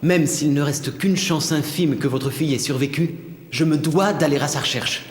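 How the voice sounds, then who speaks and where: A man's voice: fairly high pitch, normal speed, roomy sound, big-room sound, a little hiss or hum, lightly acted. A man playing a lovestruck young prince in a dubbed cartoon fairy tale, speaking formally and deferentially, with ardent sincerity. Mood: solemn.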